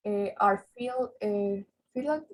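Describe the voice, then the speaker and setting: A woman student reading a text aloud haltingly, stumbling and repeating words. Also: medium pitch, rough recording.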